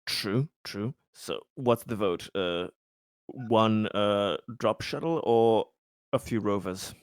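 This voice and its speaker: Male tabletop player, lowish voice, casual and halting as he talks through choices, recorded dry. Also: smooth, dry recording. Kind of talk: casual game discussion.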